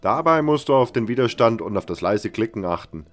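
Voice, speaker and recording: Fantasy audio drama thief mentor: man playing matter-of-fact lockpicking tutor, instructive delivery, clear emphasis. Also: on the low side, lively intonation, brisk, soft background music, close to an everyday voice.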